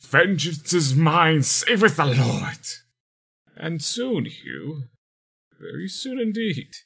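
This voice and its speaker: Man as slow, creaky vengeful general. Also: a little high, sharp tone, heavily acted. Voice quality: tense, creaky. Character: vengeful, righteous retired army general. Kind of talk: comic-book motion comic acting.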